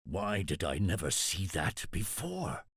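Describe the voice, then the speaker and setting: A deep, rough-voiced loyal warrior in a game cutscene. Stern and earnest, he speaks slowly and with strong, emphatic swings. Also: huge pitch swings, a bit bright, no echo, clean studio sound, exaggerated voice. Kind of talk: video game cutscene acting.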